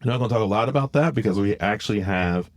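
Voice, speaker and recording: A man's voice: fairly low pitch, rapid-fire, no room sound, studio quality. A man hosting a podcast, explaining things in an informal, rambling way with self-corrections. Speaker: podcast host, biologist. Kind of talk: casual explanatory podcast talk.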